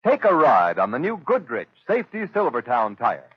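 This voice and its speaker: A man's voice: medium pitch, wildly swooping pitch, moderate pace, husky, dry recording. A man announcing a 1930s radio advertisement in an emphatic sales manner. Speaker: commercial announcer. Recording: muffled old radio recording.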